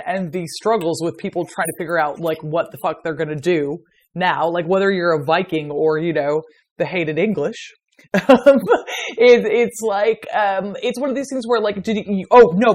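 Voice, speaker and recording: A woman's voice: fairly low pitch, a little mellow. A woman with an Irish accent, a guest on a podcast, talking casually in a sardonic, sweary way and laughing often. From Ireland. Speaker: podcast guest, medieval historian.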